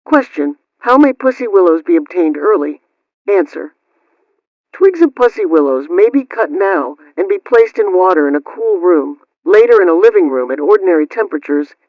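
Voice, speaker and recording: A woman's voice: lowish voice, natural voice. The sound is a dull, narrow vintage radio broadcast with very little room echo. A woman plays a prim homemaking hostess of the 1920s, reading scripted household advice. Her delivery is formal and instructional. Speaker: host. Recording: dull, band-limited old-radio sound.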